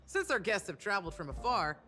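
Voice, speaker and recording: A woman's voice: medium pitch, sing-song, fast talker, music in the background, lightly acted. A woman voicing a cool, playful female bartender in a video game, with theatrical, flirtatious showmanship. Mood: playful, teasing. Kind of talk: video game character dialogue.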